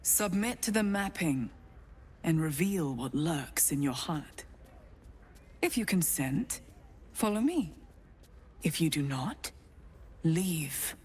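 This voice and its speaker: A woman playing a cold, condescending cult priestess in a video game, deliberate and disdainful, sometimes hushed. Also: deep voice, animated, slightly rough, full character voice. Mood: commanding.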